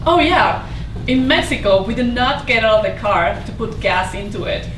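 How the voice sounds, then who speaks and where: High-voiced, wry housekeeper played by an actress, roomy recording. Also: female voice, expressive, moderate pace, close to an everyday voice. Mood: candid, explaining. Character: proud, prickly Mexican hotel housekeeper, mother of two. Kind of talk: staged play reading. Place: indoor staged reading before an audience. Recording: roomy, distant mic, noisy live stage.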